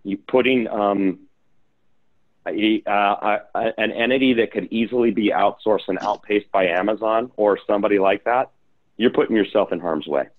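A man's voice: on the low side, normal speed. A man, an investor and developer, talking through his views in a video-call panel discussion, restarting his sentences as he goes. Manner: conversational, restarts mid-sentence. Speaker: real estate investor and developer guest. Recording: phone-like video call audio.

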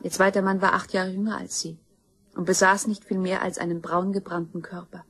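Husky, cool, determined heiress. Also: female voice, on the low side, little pitch movement, a bit bright. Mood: cool, measured. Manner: measured, storytelling, unyielding. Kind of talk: German radio crime drama acting.

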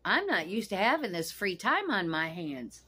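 A woman's voice: mid-range voice, sing-song, normal speed, sharp tone, breathy edge. A woman in her sixties vlogging from her couch, talking frankly and cussing freely, straight to the camera. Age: 60s. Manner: blunt, frank and profane, talks straight to camera. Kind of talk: casual vlog monologue. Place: small home room, seated on a couch.

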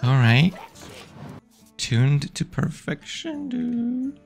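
A man's voice: sits high, huge pitch swings, drawn-out words, creaky, a little mellow, soft background music. A man streaming a digital card game live, playfully chatting with his opponents and viewers.

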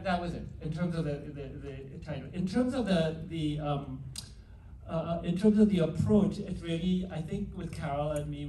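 A man's voice: fairly high pitch, warm tone, a little hiss or hum. Hesitant man with a faint Trinidadian lilt. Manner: hesitant, many restarts and fillers. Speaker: film director. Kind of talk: panel Q&A answer. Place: theatre stage before an audience. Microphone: handheld mic, recorded from far in the hall. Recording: roomy hall audio from far off.

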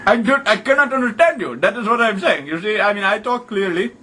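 High-pitched pushy foreign prank caller. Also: male voice, animated, fairly quick, bright, forceful tone, a little hiss or hum. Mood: exasperated, insistent. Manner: indignant, repetitive broken English without contractions. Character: pushy, absurd, crude foreign male phone caller. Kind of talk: improvised prank phone call.

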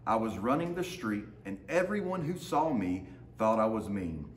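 Low-voiced man reading a storybook, echoey room. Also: very animated, normal speed. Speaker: teacher reading aloud to students. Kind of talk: children's picture-book read-aloud. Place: large open indoor lounge with hard floor. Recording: roomy echo, faint background noise.

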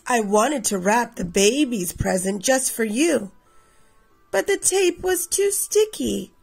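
A woman in her thirties reading a picture book aloud expressively for children, with a slightly husky, creaky voice. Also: on the high side, expressive, slow pace, faint music behind, poor audio. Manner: addresses children directly. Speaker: read-aloud storyteller for children.

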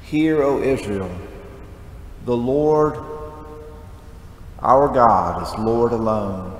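A man's voice: mid-range voice, extremely slow. Man preaching in an echoey church. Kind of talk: homily, conversational preaching. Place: large church, at the lectern. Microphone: headset mic. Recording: echoey church with long reverb, background noise.

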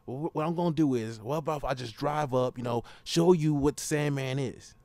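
A young man making an improvised prank phone call as the caller, rambling insistently and repeating himself in a gravelly voice. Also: mid-range voice, a little fast, darkish tone, no room sound.

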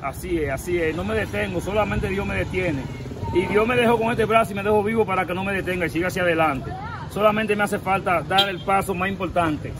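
A man's voice: sits high, a little fast, background noise. A man in his forties with a Dominican accent, pleading and emphatic in a street interview, recorded outdoors on a camera close by. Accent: Dominican Spanish. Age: 40s. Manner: pleading, emphatic, gestures while talking. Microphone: handheld camera close by.

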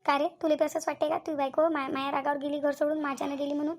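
A woman's voice: high voice, little pitch movement, fast. A woman voicing a fretful middle-aged mother in a cartoon family drama, pleading plaintively in a Varhadi Marathi accent. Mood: anxious pleading. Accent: Varhadi Marathi from Vidarbha.

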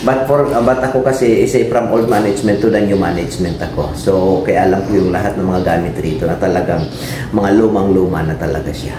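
A man's voice: low-pitched, fairly even intonation, fairly quick. A young man working at a restaurant, politely explaining its antiques on a guided tour and recorded on a nearby phone. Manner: polite, explanatory, mixing English into his talk. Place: old house restaurant, indoors. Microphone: vlogger's phone nearby. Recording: echoey noisy room.

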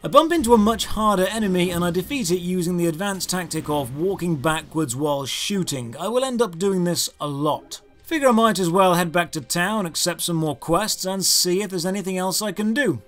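A man's voice: high-pitched, expressive, a little fast, few pauses, soft background music. A British man in his thirties narrating a scripted, critical video game review in an emphatic, sardonic manner. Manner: emphatic, rhetorical questions, sardonic.

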